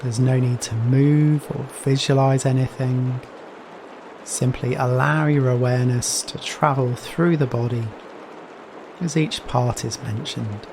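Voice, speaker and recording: A man leading a guided meditation in a gentle, soothing manner, leaving long pauses between phrases. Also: mid-range voice. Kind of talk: guided Yoga Nidra meditation. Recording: steady rain noise behind voice. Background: rain sounds.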